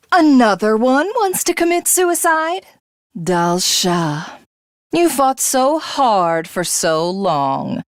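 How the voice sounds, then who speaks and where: A woman's voice: a little high, dry recording, studio quality. A woman voicing a haughty alien villainess in a video game, grandiose, theatrical and condescending. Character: haughty female alien commander craving godhood.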